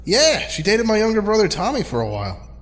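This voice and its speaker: A young hero, with a high, animated voice in a long reverberant space. He sounds bewildered and questioning, in audio drama style. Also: fairly quick. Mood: surprised. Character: bewildered young man, newly chosen hero.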